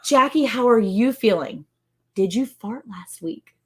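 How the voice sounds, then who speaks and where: A woman's voice: a little high, lively intonation, a little slow, husky. A woman in her forties co-hosting a casual livestream from home, chatty and teasing, greeting viewers by name. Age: 40s. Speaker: co-host of a ranch livestream.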